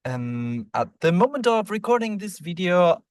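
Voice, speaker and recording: A man from Argentina, a program lead, answering interview questions in a measured way with some ums. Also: high-pitched, sing-song, dry recording, clean studio sound.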